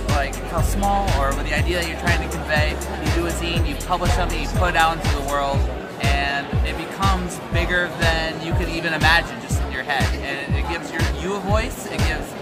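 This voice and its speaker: A man's voice: fairly high pitch, moderate pace. A man gives an earnest, passionate interview answer at a festival and searches for his words along the way. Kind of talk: earnest vox-pop answer. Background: loud music.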